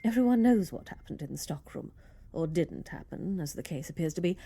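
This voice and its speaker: Woman acting a dark-voiced, scornful lecturer. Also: sits low, very animated, creaky, husky, full character voice. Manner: stern, deliberate, cutting. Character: cynical, scornful woman lecturing a young man. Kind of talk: radio play monologue acting.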